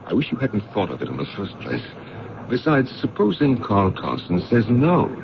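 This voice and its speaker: Serious investigator-narrator; low, creaky, deliberate. Vintage radio play, muffled sound. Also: male voice, wildly swooping pitch, background noise, exaggerated voice. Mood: reassuring, concerned. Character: sober male investigator narrating his own case, earnest and considerate. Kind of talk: old-time radio drama narration and dialogue. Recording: dull old radio recording.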